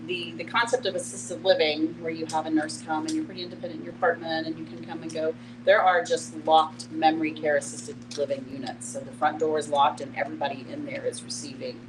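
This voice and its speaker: A woman facilitating a group discussion, explaining things informally in halting, piece-by-piece phrases. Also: low-pitched. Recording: clear background noise and hum.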